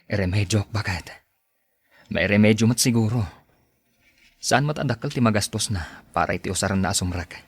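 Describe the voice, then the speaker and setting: A man playing an earnest, husky, low-voiced husband. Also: moderate pace, pauses often, no room sound. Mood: earnest, resolved. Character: hard-pressed working husband and father, earnest, dutiful. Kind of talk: podcast drama dialogue.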